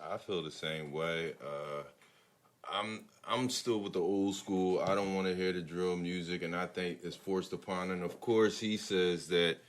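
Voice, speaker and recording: A man giving casual opinions as a podcast panelist in a hesitant, measured way. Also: low-pitched, steady tone.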